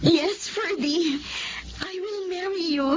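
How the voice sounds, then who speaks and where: High, slow-spoken emotional sweetheart. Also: female voice, over loud music. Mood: overwhelmed, tearful. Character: tender, emotional young sweetheart, later devoted wife. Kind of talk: Filipino radio drama acting. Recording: dull radio recording, faint noise.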